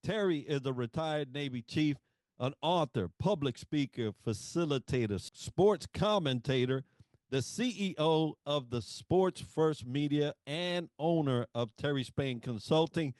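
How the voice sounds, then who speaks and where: A man's voice: a little high, expressive, unhurried, dry recording. Man hosting a podcast, dry close sound. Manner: warm formal introductions, reads prepared questions. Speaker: podcast host.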